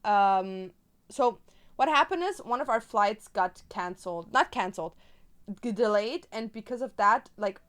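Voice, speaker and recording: A woman's voice: medium pitch, lively intonation, unhurried, a bit bright. A young woman from Germany, a native Spanish speaker, chatting casually and rambling with lots of fillers while she crafts on stream.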